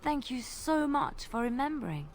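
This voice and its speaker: A man voicing a gentle young woman in a game, speaking in a breathy, touched falsetto. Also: very animated, moderate pace, a little hiss or hum.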